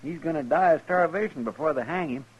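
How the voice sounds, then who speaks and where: A man's voice: fairly high pitch, fast, dull audio, close to an everyday voice. A man acting a loyal, anxious frontier deputy in an old-time radio western, talking in folksy country style. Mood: worried. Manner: folksy, twangy country talk. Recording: noisy old radio drama recording.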